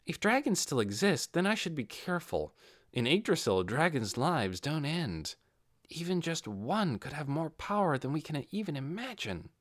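A man's voice: a little high, big pitch swings, moderate pace, no room sound, clean studio sound, pitched above the performer's own voice. A man voicing a reserved, brooding undead adventurer who muses inwardly in an audiobook. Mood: wary musing.

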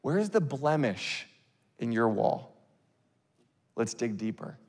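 A man in his thirties preaching a sermon on a church stage into a handheld mic, earnest and conversational, with quick bursts and restarts. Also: mid-range voice, big pitch swings, darkish tone, long reverb tail. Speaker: pastor.

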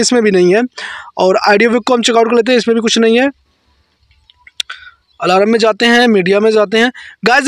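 Male phone-tutorial narrator, notably high pitch, dry close-mic sound, informal explanatory delivery. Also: a bit bright, no room sound. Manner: explanatory, mixes English words into speech. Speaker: tech tutorial host.

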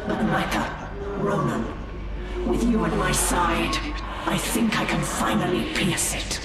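Slow, low alien seer. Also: female voice, sing-song. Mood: solemn, mystical. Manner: slow, portentous. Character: solemn female Eldar seer speaking of prophecy. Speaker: game character. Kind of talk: dramatic video game cutscene acting. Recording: echoing, loud music underneath.

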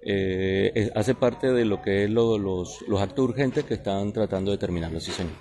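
A man with a Colombian accent and a low voice speaks as a police officer giving a press interview. He is formal and halting, searching for words as he answers outdoors into handheld microphones. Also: a crowd in the background. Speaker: police colonel. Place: outdoors at night outside a building. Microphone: handheld reporters' microphones.

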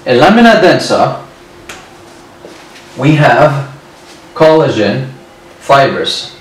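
A man's voice: mid-range voice, very animated, takes it slowly. Young man, lecturer, repeats key terms, distant mic, echoey room. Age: 20s. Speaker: medical lecturer. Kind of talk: whiteboard lecture. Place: indoor room at a whiteboard. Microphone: far camera.

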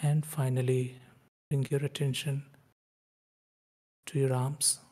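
Male meditation guide, soft dark tone with little pitch movement, unhurried short instructions separated by long pauses. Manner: slow, calm, spaced-out short phrases with long pauses.

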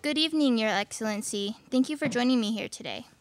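Young woman as dogged detective, radio play, slightly high voice, courteous, faint noise. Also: fast talker, lightly acted. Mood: polite, inquiring. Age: young adult. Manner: formal, polite. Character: polite, determined detective questioning witnesses. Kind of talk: student live radio play reading. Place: small indoor studio. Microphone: stand microphone.

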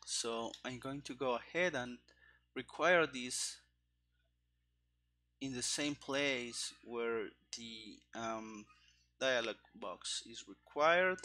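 A man in his thirties talks himself through live coding at a slow, halting pace. His voice is bright and sharp, picked up on a headset mic. Keys clatter and click behind his muttered working-out. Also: mid-range voice. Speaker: programmer streaming game development. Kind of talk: thinking aloud while live coding. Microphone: headset microphone. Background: keyboard typing and clicking.

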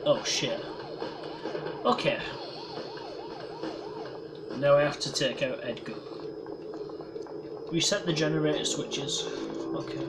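A man's voice: a little high, slow, long pauses, very noisy. Sniffly man swearing through a game. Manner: casual, swears a lot, self-mocking. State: slight head cold, sniffling. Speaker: gameplay commentator. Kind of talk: casual let's-play commentary. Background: loud game music and sound effects.

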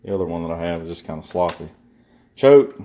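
A man with a low voice talks loosely and folksily to his viewers while he handles a chainsaw at a workbench. He rambles from one point to the next in casual chat. It was recorded on a handheld phone, with faint background hiss. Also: lively intonation, quick pace. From southern United States. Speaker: vlogger reviewing a chainsaw. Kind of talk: casual unboxing talk. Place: workshop or garage indoors, workbench. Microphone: handheld phone camera.

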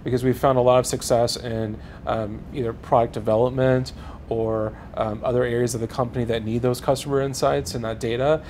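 Man, middle pitch, corporate tone, explanatory, faint rumble. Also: flat delivery, fairly quick, a little mellow. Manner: corporate, explanatory, some fillers. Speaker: panelist. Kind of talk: panel discussion.

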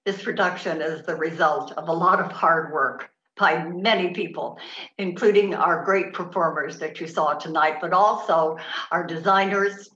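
Lady doing a thank you speech, bright lowish voice, roomy kinda dull audio. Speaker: theatre company spokesperson. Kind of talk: thank-you address to an audience. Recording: muffled, echoey home-style recording.

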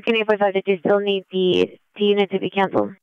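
A woman's voice: medium pitch, sing-song, fast, clean tone, no room sound. A woman dispatching in clipped phrases over a police radio. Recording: dull police scanner audio.

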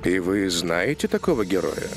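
A man's voice: low-pitched, sing-song, normal speed, creaky, heavily acted. A man voicing a deferential, calculating henchman in a cartoon dub. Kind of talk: animated series dub. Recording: music under the voice.

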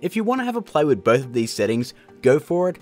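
A man hosting a casual software tutorial in a chatty, jokey way. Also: on the high side, big pitch swings, fast, faint music behind. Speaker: tutorial host. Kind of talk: casual screen-recorded tutorial narration.

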